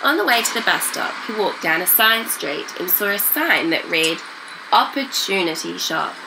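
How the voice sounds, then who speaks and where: A woman, reading a children's story aloud in an animated, smiling way. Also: medium pitch, normal speed, vocal fry, noisy background. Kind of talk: expressive storybook read-aloud. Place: small indoor reading room.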